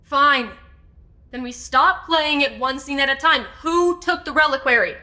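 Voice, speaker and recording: An actress playing an earnest, determined amateur investigator in an audio drama, deliberate and intense. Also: female voice, high-pitched, pushed and bright. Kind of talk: supernatural comedy audio drama acting.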